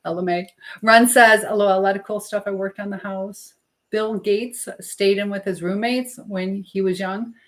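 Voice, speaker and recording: A woman's voice: mid-range voice, fairly even intonation, moderate pace. A woman hosting a casual live stream while she cooks, chatting informally to viewers and reading out their comments. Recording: clear background noise and clicks.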